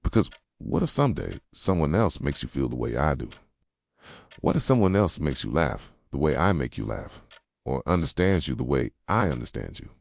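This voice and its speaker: Very deep, soft-voiced jealous boyfriend acted by a man. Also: big pitch swings, normal speed, vocal fry, dry recording, studio quality, exaggerated voice. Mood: pleading, insecure. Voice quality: soft, low, slipping into whispers. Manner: tender, brooding, confessional. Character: jealous, possessive, obsessively devoted yandere boyfriend. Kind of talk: intimate boyfriend roleplay ASMR.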